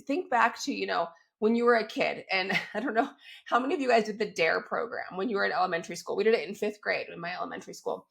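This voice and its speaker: A woman hosting a fitness podcast while she has a cold, her voice crackly and a little squeaky, talking in an upbeat, chatty way and often checking in with her listeners. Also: quick pace, vocal fry, a bit bright. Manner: upbeat and chatty, keeps checking in with "right?" and "okay?". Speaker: fitness coach and podcast host. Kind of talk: solo podcast, coaching talk.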